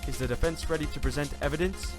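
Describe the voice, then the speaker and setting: A man's voice: fairly high pitch, fast talker, over music, lightly acted. A man playing a stern, authoritative judge in a courtroom audio drama, formal and commanding. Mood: formal inquiry.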